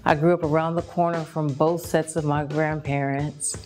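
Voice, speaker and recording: A woman from Long Beach, California, a spoken word poet, speaking in a measured, deliberate way. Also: low voice, flat delivery, darkish tone, faint music behind. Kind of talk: documentary interview and poetry.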